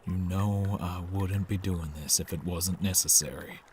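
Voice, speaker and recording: A man's voice: low voice, fairly even intonation, unhurried, darkish tone, a little hiss or hum. A man acting a reluctant, caring leader of an underground shelter in an audio drama, hesitant and subdued. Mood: reluctant, practical.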